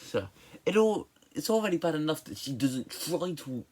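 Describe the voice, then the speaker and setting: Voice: mid-range voice, sing-song, normal speed, a little mellow, slightly rough. A person giving a casual, opinionated movie review in an exasperated, emphatic way, often stammering and repeating words and syllables.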